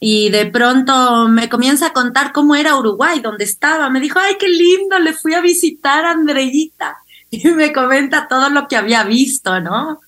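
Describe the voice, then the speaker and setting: Female interview guest, bright and fairly high voice, conversational storyteller. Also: expressive, moderate pace. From Ecuador. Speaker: interview guest, clinical psychologist.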